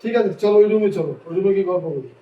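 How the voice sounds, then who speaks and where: A man's voice: high voice, very fast, natural voice. A man playing an evasive young husband in a domestic drama, pleading and justifying himself repetitively. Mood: defensive. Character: evasive young husband, devoted to his lover, dismissive of his wife. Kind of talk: amateur domestic drama acting. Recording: echoey room, distant mic, muffled, faint noise.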